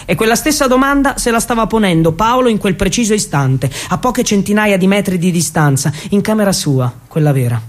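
Casting: male stage storyteller, high-pitched voice, theatrical and ironic delivery of a narrative monologue. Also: animated. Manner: theatrical, with deliberate repetitions and ironic asides. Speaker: actor and storyteller.

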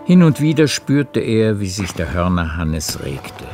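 A man narrating a literary radio play in a measured, composed manner. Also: deep voice, sing-song, a little mellow. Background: faint music.

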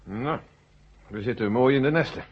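An actor playing a blunt, authoritative male general with a husky voice in a science-fiction radio play. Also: medium pitch, moderate pace, close to an everyday voice. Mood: worried, stern. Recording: muffled vintage radio recording.

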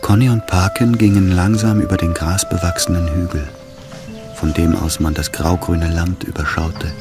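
An actor playing a calm literary narrator in a radio drama, reading in a measured, hushed, descriptive way. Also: male voice, low voice, music in the background. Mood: sombre, measured. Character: calm literary narrator of a sensual love story.

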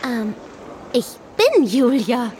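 An actress playing a naive, bright young female clone who works as a soap actress in a radio drama. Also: on the high side, big pitch swings, slow pace, a little hiss or hum. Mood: friendly, shy.

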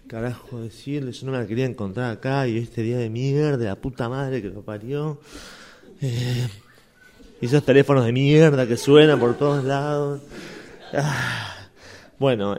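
A flustered Argentine man, played by an actor in a radio theatre exercise with an average-pitched voice. He speaks in halting, stammered bits, evasive and ill at ease. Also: darkish tone, natural voice. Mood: irritated, muttering. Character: flustered, evasive man muttering foul-mouthed asides.

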